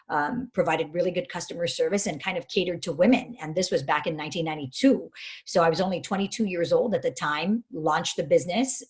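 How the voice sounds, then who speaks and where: A woman in her fifties from Hawaii, a head soccer coach telling stories in a chatty, self-deprecating way with frequent laughs. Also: lowish voice. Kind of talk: casual interview storytelling.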